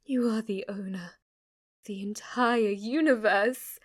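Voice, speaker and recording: A woman acting a slow, worshipful demon maid. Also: mid-range voice, lightly acted. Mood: rapturous worship. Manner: formal, deferential, breathless adoration, sometimes whispering. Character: devoted, submissive, obsessively worshipful female demon maid servant. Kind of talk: ASMR roleplay.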